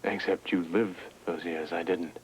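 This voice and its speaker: An actor playing a polite, reserved man speaking quietly with a doctor. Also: low voice, fairly even intonation, a little slow, a little hiss or hum. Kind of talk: 1980s TV soap acting.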